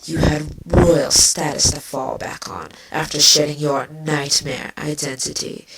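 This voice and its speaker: A woman's voice: low-pitched, fairly even intonation, a little slow. A woman voicing a bitter, venomous changeling queen villainess with a biting, sardonic delivery in a fan fiction reading. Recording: distant mic, clearly noisy.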